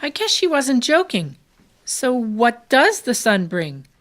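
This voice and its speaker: A woman's voice: fairly high pitch, big pitch swings, takes it slowly, a bit bright, full character voice. A woman acting in community radio theatre as a scatterbrained, food-minded friend, guileless and chipper.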